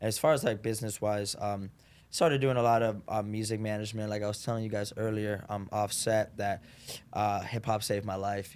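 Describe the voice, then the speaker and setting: A man's voice: fairly low pitch, clean tone. A young adult man from Puerto Rico, a podcast guest talking casually and easily with frequent fillers. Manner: relaxed, friendly, frequent "you know". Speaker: podcast guest, marketing founder. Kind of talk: casual interview chat.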